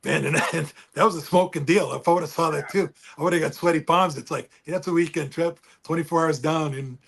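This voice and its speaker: A man with a booming voice chatting casually and rambling about engines over a remote call. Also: fairly even intonation, fairly quick, creaky, fairly bright tone. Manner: rambling, folksy, restarts often. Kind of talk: casual car chat. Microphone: remote call with speaker feedback.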